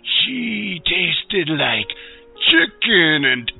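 A man's voice: fairly high pitch, big pitch swings, very slow, vocal fry, slightly rough, heavily acted. A man voicing a boastful old Dracula in a comic radio sketch, with a hammy, theatrical delivery. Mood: boastful, gleeful. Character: boastful old male Dracula, hammy vampire doing impressions. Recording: dull lo-fi radio audio with music behind.

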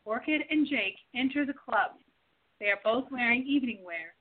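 Highish-voiced woman narrating a radio play. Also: lively intonation, normal speed. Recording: tinny narrow-band phone-like audio.

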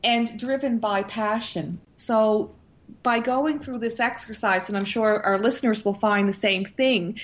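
A woman speaking as a panelist in a conversational discussion, reflective and earnest. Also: a little high. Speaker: talk show panelist.

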